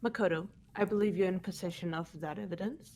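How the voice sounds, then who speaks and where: A woman voicing a composed, probing young woman in a mystery game, measured and coolly confident, with slight background noise. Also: on the low side, a little mellow, natural voice. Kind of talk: dubbed game dialogue.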